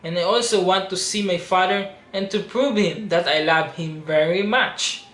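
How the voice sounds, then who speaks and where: High-voiced young Filipino-accented man, pleading. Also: normal speed. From Philippines. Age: 20s. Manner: earnest, pleading. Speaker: reality show audition applicant. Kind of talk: personal plea to camera. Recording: roomy echo with steady background noise.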